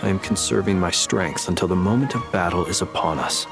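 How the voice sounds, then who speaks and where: A man playing a courteous, reserved ally in a video game cutscene, speaking in measured, formal phrases over music. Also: low-pitched, gravelly. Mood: composed. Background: background music.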